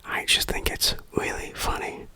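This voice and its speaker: A person whispering an ASMR game review in a breathy, unvoiced voice, deliberate and tongue-in-cheek. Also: sharp tone, no room sound.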